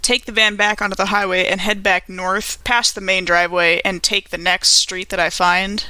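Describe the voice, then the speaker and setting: A woman playing a precise, businesslike doctor turned investigator, wording things formally and deliberately in a tabletop roleplay. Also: medium pitch, little pitch movement, bright, forceful tone, no room sound, close to an everyday voice, rough recording.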